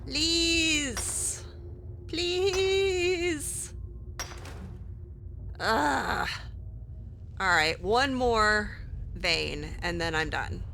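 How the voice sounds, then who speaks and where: A woman's voice: sits high, extremely slow, gravelly, long pauses, bright, forceful tone, slight background noise. A woman giving in-character commentary as she plays a video game, with wry, exasperated asides. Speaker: gaming video host playing in character.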